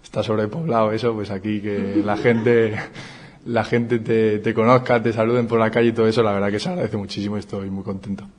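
Young man from Madrid, handball player; lowish, flat, relaxed interview voice. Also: fairly quick, crowd noise. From Madrid, Spain. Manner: relaxed, modest, repeating words. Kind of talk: post-signing interview.

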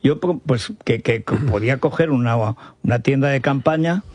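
A man's voice: medium pitch, moderate pace, darkish tone, a little hiss or hum. A mature man with a Spanish accent from Spain, giving opinions in a panel discussion.

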